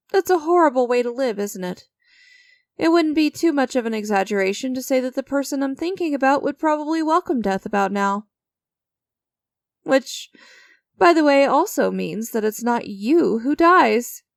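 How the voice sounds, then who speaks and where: A woman's voice: high-pitched, gravelly, no room sound, studio quality, full character voice. A woman playing an enigmatic, creepy stranger who strikes sinister deals in a dramatic audio roleplay, teasing and theatrical with a smug, coaxing manner. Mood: sly, coaxing.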